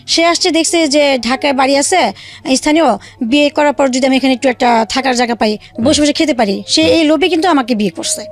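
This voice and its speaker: A woman telling her own life story in a halting way, with many fillers and restarts. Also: sits high. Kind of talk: emotional personal storytelling.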